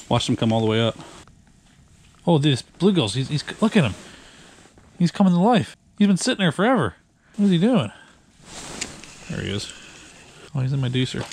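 A man in his thirties filming his ice-fishing trip in a tent on a frozen lake, talking casually and excitedly with plenty of slang and swearing, recorded on a handheld action camera. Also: medium pitch, a little fast, vocal fry, pauses often. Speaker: fishing vlogger. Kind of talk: casual outdoor vlog. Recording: noisy outdoor camera audio.

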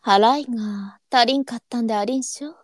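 Woman doing a kindly teasing big-sister courtesan in a radio play, refined old speech, slightly high, dry. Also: fairly quick, no room sound, close to an everyday voice.

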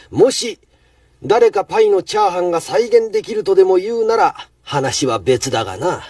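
An arrogant villain chef from an anime, with a husky, fairly high male voice that swings widely in pitch. His haughty, formal speech drips with contempt. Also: very animated, normal speed, darkish tone, no room sound, studio quality, recorded from a distance. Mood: scornful. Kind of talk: Japanese anime acting.

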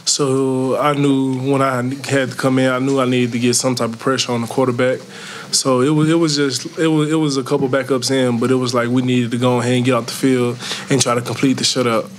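Young man, college athlete, fast casual answers with little pitch movement, press room interview. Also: little pitch movement, slight background noise. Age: early 20s. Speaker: college football player. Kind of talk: post-game press conference answers. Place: indoor press conference room. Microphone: tabletop microphone.

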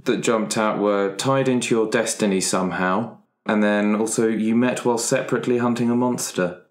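Low-voiced man chatting in echoey room. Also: fairly even intonation, moderate pace. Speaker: player in a tabletop role-playing game. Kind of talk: casual chat.

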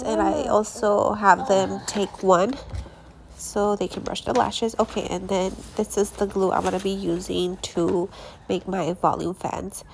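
A woman's voice: mid-range voice, darkish tone, slight background noise, low-quality recording. A young woman, congested from allergies, chatting casually as she reviews her lash products, with many fillers and restarts.

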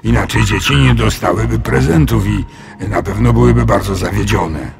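A man's voice: on the low side, moderate pace, vocal fry. A man voicing a big, friendly, bumbling male dragon in a children's audio story, with hesitant, drawling, sheepish speech.

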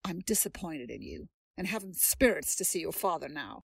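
A woman's voice: low-pitched, very animated, moderate pace, gravelly, husky, no echo, heavily acted. A haughty, scornful old aunt in an audio drama. Her scolding voice swings widely up and down, recorded close and dry. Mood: indignant. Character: stern rich elderly aunt, scornful.

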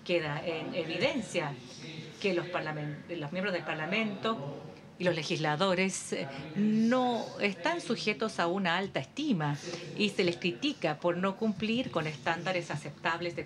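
Low-voiced woman interpreting over a murmuring crowd. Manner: steady, measured, occasional hesitations. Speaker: simultaneous interpreter. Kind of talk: simultaneous interpretation of a formal speech. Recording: audience murmur beneath the voice.

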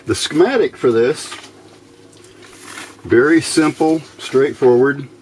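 A man with a medium-pitched voice talks through an electronics build at his workbench. He goes slowly and plainly, with halting explanations in an informal tutorial style. There is noticeable noise on the camera microphone recording. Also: a little mellow, poor audio. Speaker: electronics hobbyist demonstrating a build. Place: indoor workbench.

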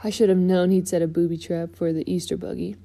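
A woman's voice: on the low side, little pitch movement, fast, gravelly, mellow. A woman voicing an earnest, skeptical but caring male car in a children's storybook, plain-spoken. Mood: quietly fond. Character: skeptical, caring young male cartoon race car friend.

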